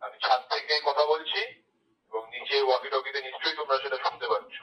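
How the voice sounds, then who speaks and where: A man's voice: medium pitch, fast, a bit bright, far from the mic. An Indian man with a Bengali accent giving a casual demonstration, heard through a walkie-talkie radio. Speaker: tech reviewer, vlogger. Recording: tinny walkie-talkie speaker, echoey, off mic.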